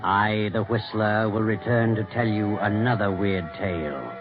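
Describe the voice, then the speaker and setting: An actor playing an ominous, knowing storyteller who narrates a 1940s radio mystery. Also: male voice, fairly low pitch, measured pace, close to an everyday voice. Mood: sly, knowing. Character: ominous, knowing male storyteller of weird tales. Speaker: narrator host. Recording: dull 1940s radio recording with music.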